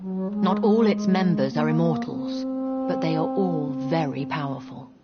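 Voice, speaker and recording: A poised immortal noblewoman of vampire London in a video game. Her voice is very low for a woman and she speaks with measured, formal authority. The sound is slightly dull, with loud music behind her. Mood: measured, explanatory. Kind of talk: scripted video game dialogue. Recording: muffled game audio under loud music. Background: loud background music.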